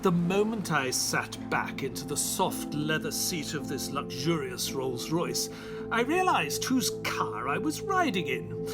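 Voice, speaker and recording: A man playing a polite, inquisitive English doctor in an audio drama, expressive and well-mannered. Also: fairly high pitch, unhurried, loud music behind the voice. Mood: reflective, storytelling. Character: polite, inquisitive English doctor narrating his detective adventures. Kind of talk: scripted first-person audio drama narration.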